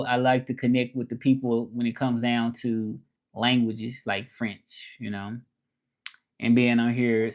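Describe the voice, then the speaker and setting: A man's voice: mid-range voice, darkish tone. Southern American man chatting live. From Memphis, Tennessee, USA. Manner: repeats phrases, talks straight to viewers, switches languages mid-thought. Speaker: live streamer and language teacher. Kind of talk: casual live-stream chat, switching between English and French.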